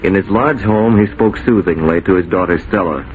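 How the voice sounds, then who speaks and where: A man's voice: deep voice, normal speed, narrow, tinny audio. A man narrating as a 1940s radio announcer, dramatic and declamatory. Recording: noisy 1940s radio recording.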